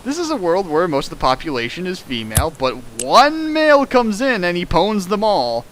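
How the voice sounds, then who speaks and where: A young man reading a fan fiction aloud and riffing on it, with big comic swings of pitch. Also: moderate pace, low-quality recording. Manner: animated, jumps in pitch for jokes and sound effects. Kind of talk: comedic dramatic reading with riffing.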